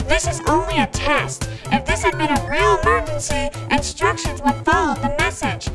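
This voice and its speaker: A woman playing a video game, reading its radio script aloud with an energetic, announcer-like delivery. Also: high voice, normal speed, soft background music.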